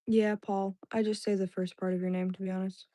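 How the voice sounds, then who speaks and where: A woman's voice: medium pitch, flat delivery, a little fast, mellow, dry recording. Young woman rambling to chat on her stream, lots of "like"s, dry close sound. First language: Indonesian. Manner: rambling, filler-heavy, many "like"s and restarts. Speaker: livestream host. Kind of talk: casual chat with live chat viewers.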